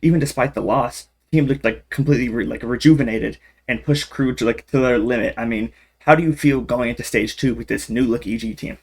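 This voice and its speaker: A man's voice: medium pitch, a little fast, few pauses, darkish tone. A man hosting an esports interview, asking questions in a casual, upbeat way.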